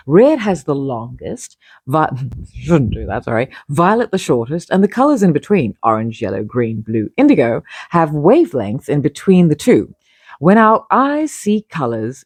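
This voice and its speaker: An expressive narrator reads a written article in a close, dry recording. The pitch swoops widely, and the reading breaks into wry asides and small self-corrections, with short phrases given deliberate emphasis. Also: no echo. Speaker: publisher reading own feature article. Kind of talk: scripted narration with casual asides.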